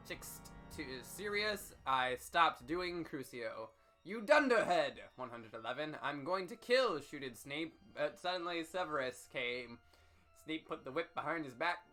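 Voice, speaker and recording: Bright-voiced man giving theatrical reading. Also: mid-range voice, animated, measured pace, faint music behind. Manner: theatrical, emphatic, with sudden shouts. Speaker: host reading fan fiction aloud. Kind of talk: over-dramatic comedic reading.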